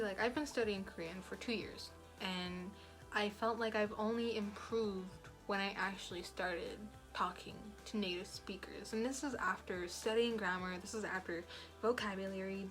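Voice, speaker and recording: A young woman giving casual language-study advice in a rambling, chatty way, full of fillers. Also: mid-range voice. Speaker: language learning vlogger. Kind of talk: casual talking-head advice.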